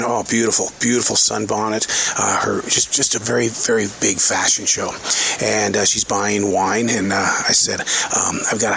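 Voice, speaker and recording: Sarcastic southern guy talking into his phone at work, gravelly voice, faint store hum behind. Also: fairly low pitch, pushed and bright, low-quality recording. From American South. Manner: chatty, wry, sarcastic, restarts often. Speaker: podcast host. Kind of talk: casual spoken work diary. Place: retail store, walking around.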